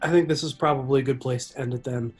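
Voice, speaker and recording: A man's voice: medium pitch, steady tone, fast, a little mellow, breathy edge, faint music behind. A man co-hosting a movie podcast in casual chat, dry and teasing. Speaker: podcast co-host.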